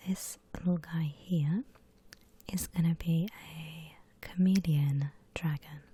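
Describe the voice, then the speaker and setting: A woman gently whispers an ASMR drawing tutorial in a breathy voice, explaining each step without hurry. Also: low-pitched, fairly even intonation, takes it slowly.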